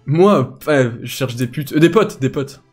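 A man's voice: medium pitch, expressive, unhurried, a little mellow. A young man hosting a casual video chat with strangers, joking in a cheeky, slangy way. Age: young adult. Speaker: online video host. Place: small bedroom, indoors. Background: music.

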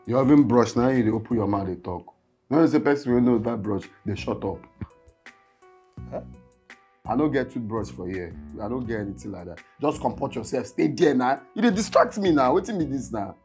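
A man's voice: medium pitch, animated, fairly quick, darkish tone, soft background music, lightly acted. Man acting a blustering Nigerian kidnapper. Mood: grumbling, weary. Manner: blustering, repetitive, scolding. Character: volatile male kidnapper, a frustrated, boastful aspiring musician. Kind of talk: film acting, speaking Nigerian Pidgin.

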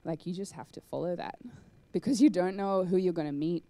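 A woman's voice: lowish voice, brisk. A young woman on stage with a handheld mic, giving a chatty conference talk in a cinema hall and answering questions. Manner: chatty, animated, lots of "like" and "um". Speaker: conference speaker. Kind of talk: live talk and Q&A.

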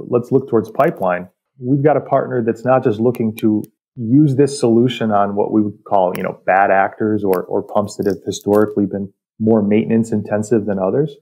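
Mellow, low-voiced man explaining technical work. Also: slightly rough. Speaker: technical director, podcast guest. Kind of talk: explanatory interview talk about industry.

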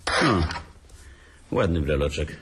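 A man's voice: extremely low pitch, animated, takes it slowly, music in the background, full character voice. An actor playing a cheeky hard-drinking man who jokes and schemes with his brother, in a playful, teasing manner. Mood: mischievous. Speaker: brother, Adam. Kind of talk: amateur radio drama acting.

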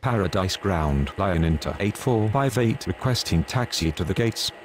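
A man plays an airport radio operator in a simulator game with a very deep voice. He is businesslike and clipped, delivering procedural calls over faint background noise. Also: lively intonation, moderate pace. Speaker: pilot and air traffic controller radio voice. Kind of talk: scripted aviation radio phraseology.

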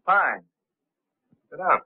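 An actor playing a curt, hard-nosed middle-aged gambling boss in a 1930s film, terse and suspicious, with tinny sound. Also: male voice, fairly high pitch, very animated, extremely slow, husky, exaggerated voice. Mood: curt, guarded.

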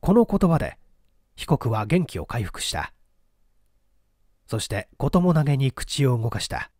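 A man's voice: medium pitch, big pitch swings, measured pace, a little mellow, no echo, studio quality. A man reading a mystery story aloud as scripted, dramatic narration. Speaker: audiobook reader.

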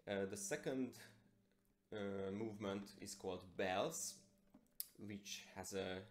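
A young man from Hungary with a Hungarian accent, a guitarist and composer introducing a concert in hesitant, halting phrases. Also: fairly low pitch. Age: young adult. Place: plain indoor room. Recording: echoey small room.

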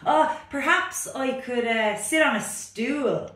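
A woman's voice: sits high, takes it slowly, a bit bright, voice pushed up. A woman voicing a reluctant, curious little picture-book frog with a pleading, questioning manner. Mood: hopeful pleading. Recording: roomy, distant microphone.

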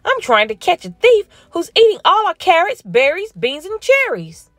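A woman voicing an eager young male farm dog who yelps in shrill, excited shouts. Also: normal speed, no echo, soft background music, exaggerated voice. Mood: urgent.

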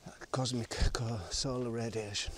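A man's voice: medium pitch, little pitch movement, moderate pace. A man rambling on in a loose, musing way while cycling outdoors, recorded on a camera mounted on his bike. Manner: musing, wandering, loose and unscripted. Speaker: vlogger. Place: outdoors on a country road and woodland, riding a bike. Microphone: action camera on the bike. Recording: noisy outdoor action-camera audio.

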